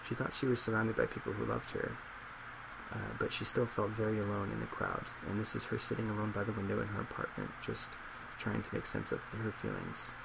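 A young man with a fairly low voice plays a psychologist in a soft-spoken ASMR role play. He talks in a measured, reassuring, slightly hesitant way, with heavy vocal fry. A loud laptop fan drones behind him in the small office. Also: lively intonation, heavy background noise. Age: 20s.

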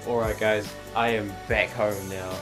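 A young man, croaky with a cold, chatting upbeat to camera in his living room, filming at arm's length. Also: on the low side, little pitch movement, a little slow, music in the background. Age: young adult. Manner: chatty, upbeat, filler-heavy. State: hoarse with a cold. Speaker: vlogger. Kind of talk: casual vlog chat to camera. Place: living room at home, indoors.

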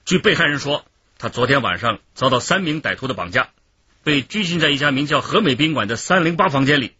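An earnest police officer in a radio play, speaking fast in a bright, forceful voice. The recording is a little dull. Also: male voice, medium pitch, animated, slightly rough, no echo. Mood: urgent, efficient. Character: brisk, earnest male police detective reporting. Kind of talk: radio drama acting. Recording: dull radio drama track, faint noise.

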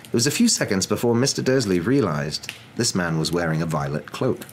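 A young man reading a story aloud as a dramatic, expressive ASMR narrator. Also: low-pitched, a little fast, slight background noise.

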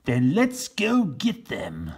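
A man voicing a blustering male Pilgrim captain in a storybook, with loud, drawn-out, indignant commands. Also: mid-range voice, huge pitch swings, husky, voice pushed up, exaggerated voice. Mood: gruff impatience. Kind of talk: storybook character voice.

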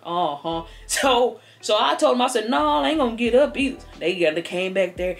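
Woman putting on a high-pitched rambling American granny. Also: animated, moderate pace, vocal fry. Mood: rambling, sly. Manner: stammering, repeats words, folksy. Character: rambling, sassy old grandmother telling tall tales. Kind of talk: improvised comedic storytelling. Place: living room couch, indoors. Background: background music.

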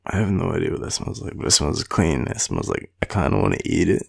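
Sleepy, gravelly, very deep Australian man. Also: sing-song, fast talker, a little mellow. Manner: murmuring, rambling, affectionate. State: tired, sleepy. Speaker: boyfriend roleplay audio performer. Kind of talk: intimate sleepy roleplay, ASMR-like rambling.

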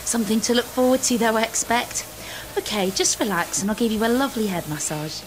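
A woman's voice: fairly high pitch, fairly quick, lightly acted, low-quality recording. A woman playing a friendly, chatty young salon junior in an audio play, polite and breezy. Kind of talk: amateur audio play acting.

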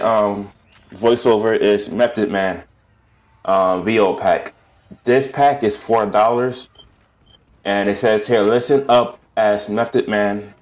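A man giving casual gaming news commentary as a YouTuber, with a halting, hesitant delivery. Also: fairly low pitch, a little hiss or hum.

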